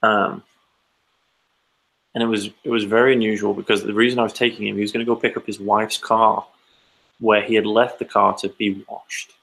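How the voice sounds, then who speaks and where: Male co-host with a gravelly, somewhat low voice, dry and teasing, chatting casually. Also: level tone, normal speed, fairly bright tone, poor audio. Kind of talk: casual chat over a call.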